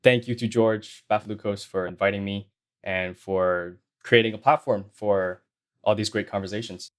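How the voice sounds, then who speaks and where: A young man who grew up in China, talking about his career as a guest on a podcast in a measured, thoughtful way. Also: on the low side, steady tone. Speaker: guest, sound designer. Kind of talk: interview answers.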